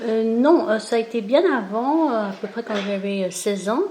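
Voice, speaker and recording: Gentle hesitant woman, echoey room. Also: on the high side, slight background noise. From Cambodia. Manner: gentle, hesitant, frequent pauses and fillers. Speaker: guest. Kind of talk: spontaneous interview, reflective talk.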